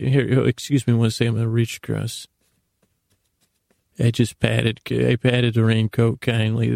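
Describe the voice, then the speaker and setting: A man hosting a whimsical solo podcast, meandering and self-deprecating, with gently absurd asides and sentences that trail off and restart. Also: medium pitch, gravelly, no room sound, clean studio sound. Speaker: podcast host. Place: small closet indoors, dry sound.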